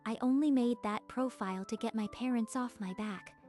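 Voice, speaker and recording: Woman acting a proud young woman, higher-pitched. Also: moderate pace, a little mellow, faint music behind, lightly acted. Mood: vulnerable. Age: young adult. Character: proud, icy young woman hiding tender feelings. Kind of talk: manga dub dialogue.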